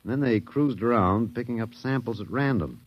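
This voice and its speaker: An actor playing a wry, detached, philosophical American academic, musing and hesitant, in a 1950s radio drama. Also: male voice, mid-range voice, moderate pace, no echo, close to an everyday voice. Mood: darkly amused. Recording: dull vintage 1950s radio recording.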